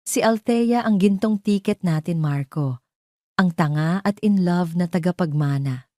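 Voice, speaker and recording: A woman's voice: fairly low pitch, a little slow, dark tone, no room sound, clean studio sound, natural voice. A woman with a Filipino accent voicing a scheming, mocking mistress in an audio drama. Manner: mocking, scornful.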